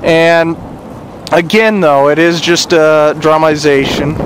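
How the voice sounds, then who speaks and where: A man's voice: on the high side, level tone, slow, rough recording. A man giving a casual on-location report outdoors by a river, rambling with many "uh" fillers. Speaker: citizen reporter. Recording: outdoor recording with loud wind and river noise.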